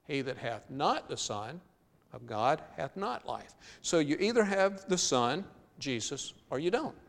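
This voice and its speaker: A man preaching a sermon in earnest, slowing down and drawing out key words for emphasis. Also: fairly high pitch, sing-song, creaky. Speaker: pastor. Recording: long hall reverb.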